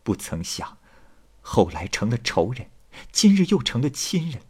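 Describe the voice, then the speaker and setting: A man voicing a gracious, steadfast young noblewoman in an old tale, speaking with soft, measured emotion. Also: mid-range voice, wildly swooping pitch, breathy edge, heavily acted. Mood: tender, grateful.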